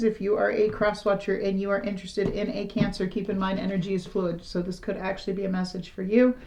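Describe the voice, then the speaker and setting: Raspy-voiced middle-aged woman reading tarot. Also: medium pitch, very noisy. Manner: frank, rambling and conversational. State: slightly hoarse after illness. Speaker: tarot reader. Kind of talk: casual tarot reading to camera.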